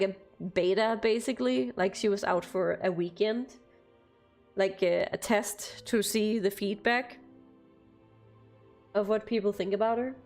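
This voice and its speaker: A woman's voice: mid-range voice, a little mellow. A young woman from Denmark with a light Danish accent, chatting casually with viewers on a live stream and laughing often between phrases.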